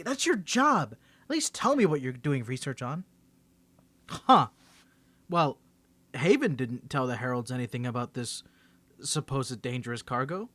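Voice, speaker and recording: A man's voice: fairly high pitch, fairly bright tone, no room sound. A man playing a confident, teasing young superhero in a scripted audio roleplay, bantering playfully and stammering when he gets flustered.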